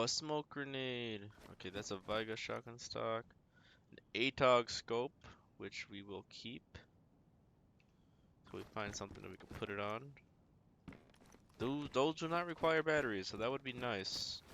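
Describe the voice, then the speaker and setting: A man's voice: mid-range voice, a little slow, gravelly, long pauses, a bit bright, slight background noise. A man streaming a video game, giving casual running commentary and often muttering to himself as he plays. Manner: muttering to himself while playing.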